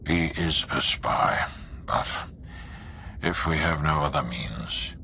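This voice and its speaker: Commanding Sith warlord, gravel-throated, intensely sharp tone, deliberate, solemn, video game cutscene. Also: male voice, slight background noise, exaggerated voice. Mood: measured doubt. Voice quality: very deep, gravelly.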